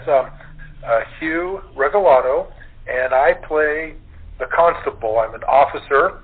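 A man speaking as an actor guest in a casual interview, halting and searching for his words. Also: fairly high pitch, husky. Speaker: radio play actor as guest. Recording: tinny, narrow phone-line sound.

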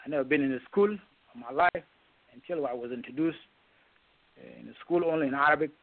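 A middle-aged man from South Sudan with a South Sudanese accent, a non-native English speaker giving a halting, earnest personal account as a radio interview guest. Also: on the high side, vocal fry, no echo. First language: not English. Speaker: guest, presidential candidate. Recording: muffled phone-in line.

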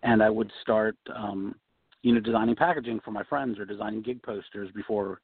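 A man being interviewed as a guest designer, relaxed, talking with many you-knows and ums. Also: fairly low pitch, brisk, husky, dry recording. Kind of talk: casual interview answers. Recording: tinny phone-line sound.

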